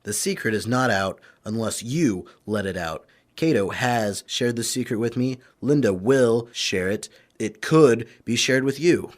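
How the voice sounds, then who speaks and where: An actor playing an earnest young publisher who is secretly a vigilante, speaking forthrightly in old-time radio drama style. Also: male voice, mid-range voice, lively intonation, a little slow, few pauses.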